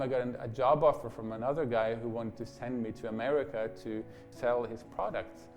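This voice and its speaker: A man from Norway, a painter, telling his story in a measured, reflective interview monologue that trails off quietly at phrase ends. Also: mid-range voice. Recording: faint music behind.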